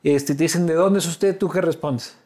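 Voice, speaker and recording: A man with an Ecuadorian accent and a slightly high voice. He interviews in a loose, slangy way, probing and teasing as he goes, and tends to repeat words while he builds a question. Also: normal speed, a little mellow. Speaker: interviewer, host. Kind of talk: casual, slangy political interview.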